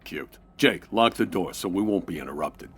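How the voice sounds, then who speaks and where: Low, husky gangster boss. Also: male voice, expressive, normal speed. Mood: cold, impatient. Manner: clipped wisecracking tough-guy talk. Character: tough male gangster boss, sardonic and menacing. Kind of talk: comedic old-time radio crime drama acting.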